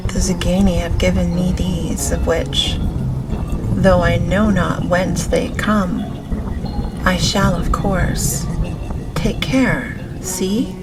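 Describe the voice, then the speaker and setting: A woman voicing a smooth, formal, sinister old male vampire count in a gothic audiobook. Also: fairly low pitch, steady tone, takes it slowly, poor audio. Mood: smoothly menacing. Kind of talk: audiobook character reading of a gothic novel. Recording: music behind the voice.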